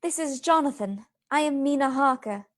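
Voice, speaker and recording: A kind steadfast young wife, high voice, formal and reassuring, radio drama lady. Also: animated, moderate pace. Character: young married woman, compassionate, steadfast, well-mannered. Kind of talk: radio play acting.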